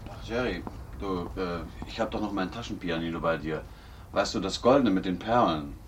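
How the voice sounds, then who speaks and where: An actor playing a shabby, broke tenant and small-time crook in a radio drama, sarcastic and wheedling. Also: male voice, deep voice, moderate pace, background noise, close to an everyday voice.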